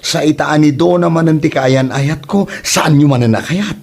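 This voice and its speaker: A man's voice: fairly high pitch, moderate pace, creaky, a little mellow. A man playing a lovestruck adult son who pleads and protests, in a comedy radio drama with an Ilocano accent. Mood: pleading.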